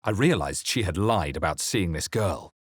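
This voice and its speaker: A brooding fantasy adventurer for a video game. The voice sits very low with a husky grain, recorded dry and close. Delivery is measured, intense and theatrical. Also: male voice, animated, dry recording. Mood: brooding, grave narration. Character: male fantasy adventurer hero, brooding, wary, resolute. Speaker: player character voice. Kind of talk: scripted video game voice lines, dramatic narration.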